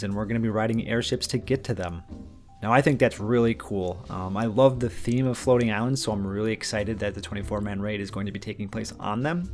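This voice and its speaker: A man, chatting casually and rambling a bit as he answers viewer questions and covers game news. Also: on the low side. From Minnesota, USA. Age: mid-20s. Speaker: gaming channel host.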